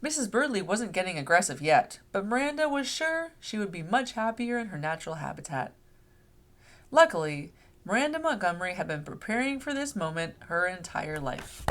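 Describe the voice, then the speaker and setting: A woman reading a picture book aloud to young children in an expressive storytelling manner. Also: medium pitch. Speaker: read-aloud host. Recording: clear background noise.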